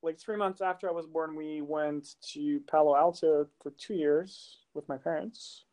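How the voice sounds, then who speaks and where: A man's voice: a little high, fairly even intonation, a little slow, a little mellow. A man from France in his thirties, a music producer talking casually in an interview, rambling with many fillers and restarts.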